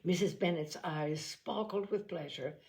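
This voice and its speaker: A woman reading a classic novel aloud as an expressive storyteller. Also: fairly low pitch, measured pace, creaky. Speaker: audiobook narrator. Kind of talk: expressive literary storybook narration.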